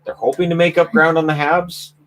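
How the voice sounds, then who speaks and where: A man's voice: a little high, flat delivery, brisk, fairly bright tone. A Canadian man hosting a casual live chat about hockey news, rambling and self-mocking. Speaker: hockey channel host.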